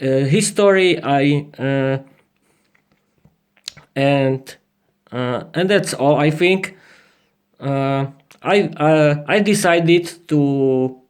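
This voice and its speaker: A man's voice: fairly high pitch, a little mellow. A man in his thirties with a Slovak accent, improvising a podcast monologue in hesitant learner English, often repeating and restarting his phrases. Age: 30s. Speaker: podcast host.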